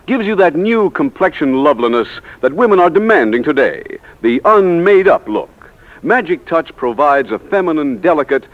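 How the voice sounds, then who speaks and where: An American man delivering a polished, emphatic scripted sales pitch as a 1940s radio announcer. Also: very animated, normal speed. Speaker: commercial announcer. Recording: tinny, narrow 1940s radio recording.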